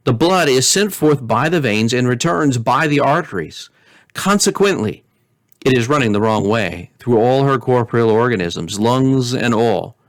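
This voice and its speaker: A man's voice: medium pitch, dry recording. A man playing a pompous, stubborn materialist philosopher. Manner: pompous, sententious, emphatic. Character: slow, sententious, stubborn male materialist philosopher, pompously certain. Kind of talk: storybook character reading.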